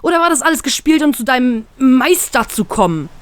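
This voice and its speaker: A woman's voice: medium pitch, lively intonation, normal speed, no room sound, lightly acted. A woman reader voicing a young male pirate crewmate who speaks in an upset, rising way. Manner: upset, getting louder.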